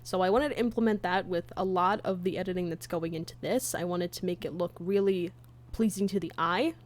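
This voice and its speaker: A woman's voice: on the low side, a little fast. Chatty woman streamer with a casual delivery. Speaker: streamer, fan comic dub creator. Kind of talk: casual livestream chat.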